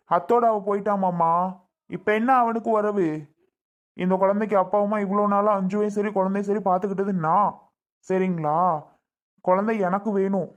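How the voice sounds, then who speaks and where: A man's voice: high-pitched, dull audio, lightly acted. A man playing an indignant, protective husband in a family drama, arguing firmly and insistently. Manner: firm, argumentative, insistent. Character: indignant protective husband refusing to give up the child.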